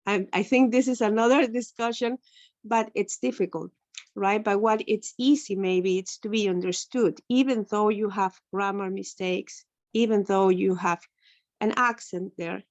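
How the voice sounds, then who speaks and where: A woman's voice: mid-range voice, steady tone, unhurried. Ecuadorian-accented woman on a muffled call. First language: Spanish. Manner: thoughtful, self-correcting, gently explanatory. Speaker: English teacher, webinar participant. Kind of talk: spontaneous comment in a Q&A. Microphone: computer mic on a video call, camera off.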